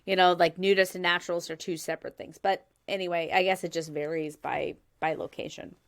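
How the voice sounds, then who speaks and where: A woman's voice: lowish voice, steady tone, brisk. A woman in her thirties hosting a casual chat, laughing often and explaining her research step by step. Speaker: podcast host presenting research.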